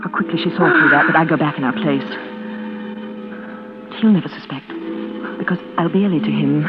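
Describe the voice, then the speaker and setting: Actress as a bitter schemer on tinny old radio. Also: female voice, deep voice, fairly quick, natural voice. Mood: cold, scheming. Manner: melodramatic, intense. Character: bitter, scheming woman caring for her mad sister. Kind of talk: 1940s radio suspense acting. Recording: tinny vintage radio recording, loud organ music.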